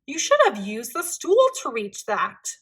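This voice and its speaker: A woman voicing a hurried, scolding mother with a brisk, sharp manner in a storybook. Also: on the high side, wildly swooping pitch, slightly rough, exaggerated voice. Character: hurried, scolding mother of two young children.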